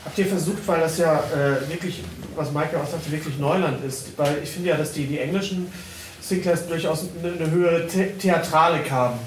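A man's voice: fairly high pitch, normal speed. A man asking long, informal questions at a panel, repeating words as he builds them. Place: indoor convention hall, live event. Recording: echoey, noisy room, far from mic.

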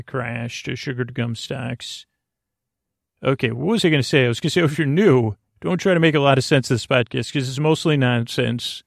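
Creaky-voiced man, soothing bedtime rambler. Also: medium pitch, no echo, clean studio sound. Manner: meandering, digressive, silly, halting with self-corrections; lulling, soothing. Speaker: podcast host. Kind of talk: rambling bedtime sleep podcast monologue.

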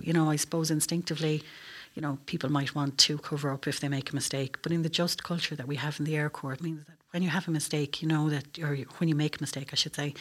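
A middle-aged woman with an Irish accent, explaining things earnestly as a guest in a conversational interview. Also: low voice. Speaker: air traffic control officer, interview guest.

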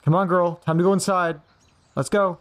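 A man's voice: high-pitched, steady tone, moderate pace, natural voice. A man playing an anxious, scatterbrained, polite young man in an audio drama, speaking in a hesitant, rambling way. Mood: awkward rehearsing. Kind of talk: naturalistic audio drama acting.

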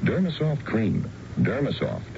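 A man's voice: mid-range voice, huge pitch swings, measured pace, creaky. A man reading a scripted radio advertisement as a commercial announcer. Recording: dull, noisy old radio recording.

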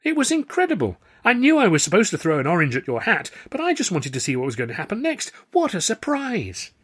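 An amiable, eager male performer, acted by a man reading aloud, his pitch lifted well up. He speaks in enthusiastic exclamations, like a loyal pal in a storybook. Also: huge pitch swings, quick pace, fairly bright tone, breathy edge, exaggerated voice. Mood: amazed.

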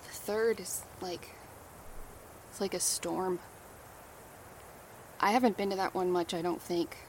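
A woman's voice: fairly low pitch, steady tone, measured pace, slight background noise. An actress playing Claire, a young woman who crosses between worlds and tells of them with urgency. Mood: haunted. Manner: urgent, explaining, losing memory. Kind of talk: horror audio drama.

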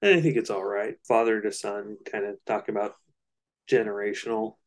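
A man co-hosting a casual music discussion, analytical and somewhat halting as he thinks aloud. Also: on the low side, steady tone. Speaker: co-host of a music discussion show.

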